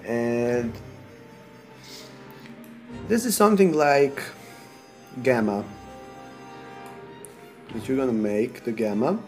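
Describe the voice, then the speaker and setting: A man from Serbia with a Serbian accent, sick, talking through a software tutorial in halting non-native English while he clicks. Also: medium pitch, faint music behind. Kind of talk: screen-recorded software tutorial.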